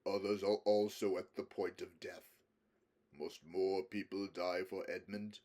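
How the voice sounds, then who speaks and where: Noble storybook lion ruler, acted by a male reader: deep, grave, solemn, commanding. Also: little pitch movement, unhurried, a little mellow.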